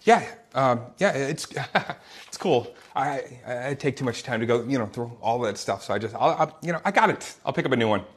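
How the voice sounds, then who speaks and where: A man playing a shy, nervous teenage boy in a comic script read, husky and stammering. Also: mid-range voice, animated. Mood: nervous, flustered. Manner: stammering, halting, nervous laughs. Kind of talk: comic screenplay table read.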